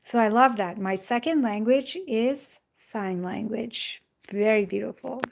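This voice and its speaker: A woman's voice: fairly high pitch, a little slow, creaky. A young woman hosting a casual phone live stream, chatting with viewers in a rambling way and often saying "like" and "you know.". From Jamaica. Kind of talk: casual live chat reading viewer comments. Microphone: phone held in hand.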